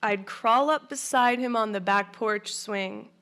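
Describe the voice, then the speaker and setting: A woman reads poetry slowly and deliberately, taking her time over each line. Her voice sits a little on the higher side. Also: a bit bright. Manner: measured, deliberate. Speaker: poet. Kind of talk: poetry reading.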